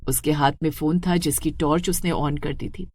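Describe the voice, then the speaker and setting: A woman's voice: sits low, steady tone, normal speed, a little mellow. A woman narrates a scripted horror story in a dramatic, suspenseful way.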